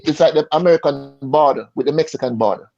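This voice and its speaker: Mellow Jamaican man on a muffled call. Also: fairly high pitch. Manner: halting, repeats words as he searches for them. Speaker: interview guest, insurance advisor. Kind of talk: casual conversational interview answers. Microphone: online call. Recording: dull lo-fi online call audio.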